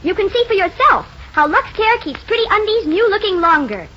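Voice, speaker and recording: A woman's voice: very high-pitched, big pitch swings, a little fast, pushed and bright. A woman with an American accent giving a scripted 1940s radio advertising demonstration. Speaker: sponsor spokeswoman. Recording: muffled, noisy 1940s radio recording.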